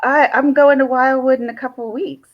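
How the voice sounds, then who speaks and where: A woman from South Jersey with a Philadelphia accent, hosting a casual livestream chat and talking in halting, rambling restarts. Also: sits high, steady tone, highs cut off. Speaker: livestream host.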